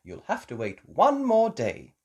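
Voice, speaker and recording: A woman voicing a gentle, sensible mother pig who speaks in a soothing, reassuring way. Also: medium pitch, huge pitch swings, measured pace, breathy edge, voice pushed up, full character voice.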